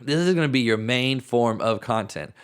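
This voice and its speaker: A man with a raspy voice, hoarse from a cold, hosting a podcast at home in a casual, rambling way. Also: medium pitch, lively intonation, normal speed. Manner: casual, rambling, thinks aloud with restarts. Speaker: podcast host. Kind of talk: solo podcast talk.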